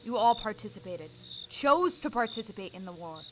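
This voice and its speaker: A woman's voice: mid-range voice, animated, normal speed, noisy background, natural voice. A woman playing a defiant, guarded outlaw soldier in an audio drama, blunt and sardonic in delivery.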